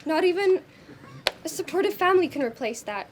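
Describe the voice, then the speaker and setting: High, distant, earnest young drama student woman acting. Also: animated, brisk. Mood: earnest, encouraging. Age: teenager or young adult student performer. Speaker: student stage actor. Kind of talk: amateur stage play acting. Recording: distant, noisy live stage recording.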